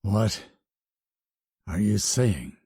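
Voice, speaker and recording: An audiobook narrator voicing a young woman who is crying, anguished and shrill. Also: expressive, measured pace, creaky, warm tone, husky, no echo, clean studio sound, heavily acted. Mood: distraught. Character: young human woman, tearful, fierce.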